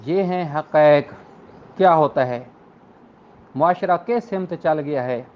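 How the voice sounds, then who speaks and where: A man's voice: on the high side, takes it slowly, lo-fi sound. A man with a Pakistani accent lecturing law students in an earnest, rhetorical way, repeating phrases for emphasis.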